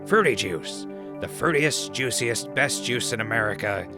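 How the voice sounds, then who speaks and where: A man's voice: mid-range voice, expressive, measured pace, music in the background. A man playing a wry wasteland radio host and wanderer in an audio drama, talking conversationally. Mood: announcer pitch. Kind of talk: audio drama log and mock sponsor reads.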